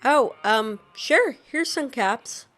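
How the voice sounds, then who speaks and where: A woman's voice: a little high, lively intonation, takes it slowly, fairly bright tone, soft background music. A woman playfully voicing her own character while playing a game, teasing and giggly. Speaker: gameplay narrator voicing the player character. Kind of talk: casual role-play while playing a game.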